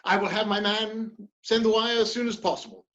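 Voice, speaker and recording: A woman's voice: medium pitch, fairly quick, fairly bright tone, dull audio, lightly acted. A woman playing a proud, ambitious male politician in a dramatic play reading, speaking with theatrical emphasis and defiance. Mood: anxious, resolute.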